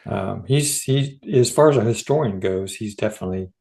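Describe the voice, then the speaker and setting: A man's voice: on the low side, moderate pace, vocal fry, darkish tone. A middle-aged American man, a podcast host, talking informally in a casual discussion. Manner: informal, conversational.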